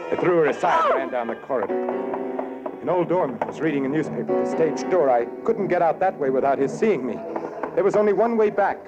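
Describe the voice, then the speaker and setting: An actor playing a haunted, wary murderer, narrating in brooding, dramatic 1940s radio noir style. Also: male voice, fairly high pitch, expressive, quick pace, natural voice. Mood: hunted, tense. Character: haunted, wary male murderer narrating his own crime. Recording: dull old radio broadcast. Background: dramatic music.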